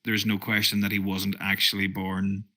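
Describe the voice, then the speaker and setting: A man's voice: sits low, monotone, normal speed, sharp tone. A man from Donegal with an Irish accent, a guest chatting casually in an interview, rambling and making wry jokes. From Donegal, Ireland. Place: virtual reality chat studio.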